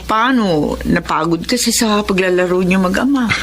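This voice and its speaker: A woman's voice: medium pitch, normal speed, a little hiss or hum, lightly acted. An actress with a Filipino accent playing a patient, troubled young mother in an audio drama. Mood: troubled, gentle. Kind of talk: Filipino radio-style drama acting. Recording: music behind the voice.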